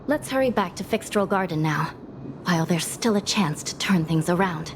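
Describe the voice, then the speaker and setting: A woman voicing a composed, resolute female judge in a video game, measured and authoritative. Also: fairly low pitch, lively intonation, noisy background. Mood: composed, determined. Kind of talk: scripted video game voice acting. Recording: voice under prominent music.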